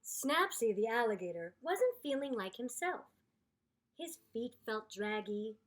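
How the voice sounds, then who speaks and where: A woman reading aloud to children in an expressive storytelling style, with deliberate, dramatic emphasis. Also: fairly high pitch. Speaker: storybook reader.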